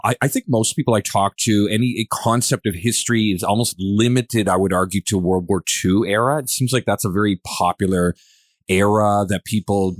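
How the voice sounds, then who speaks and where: A man hosting a podcast, posing questions in a casual, rambling way with halting, restarted phrases. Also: on the low side, no echo, studio quality. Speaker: podcast host.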